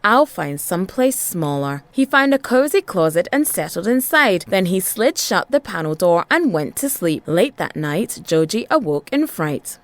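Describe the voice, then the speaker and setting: A woman reading a children's tale in an expressive, dramatic storytelling style with rhythmic phrasing. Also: mid-range voice, moderate pace, hardly any pauses, dry recording.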